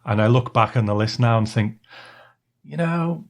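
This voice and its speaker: A man's voice: medium pitch, lively intonation, moderate pace, gravelly. A man co-hosting a podcast in a casual, easygoing, conversational way. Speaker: podcast co-host. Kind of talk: casual chat.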